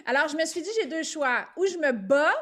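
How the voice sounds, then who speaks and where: A middle-aged woman with a Québécois French accent, presenting her company as a guest on a webinar video call, lively and quick to laugh. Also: sits high. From Quebec, Canada. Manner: lively and talkative, laughing often, with frequent fillers. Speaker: guest speaker, entrepreneur.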